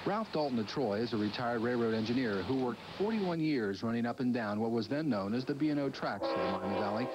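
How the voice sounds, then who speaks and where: A man's voice: medium pitch, animated, brisk, few pauses. A man narrating a scripted public affairs television news segment, recorded on a noisy old VHS tape.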